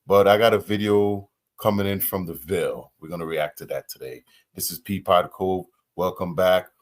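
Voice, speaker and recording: A man's voice: low voice, moderate pace. A man hosting a reaction channel, talking casually and musing aloud with rhetorical questions.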